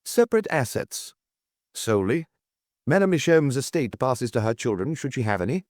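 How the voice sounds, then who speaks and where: A man voicing a brisk, guarded lawyer in an audiobook, formal, officious and insistent on the telephone. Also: mid-range voice, sing-song, darkish tone, dry recording, clean studio sound, lightly acted. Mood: formal, businesslike. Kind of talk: audiobook character dialogue.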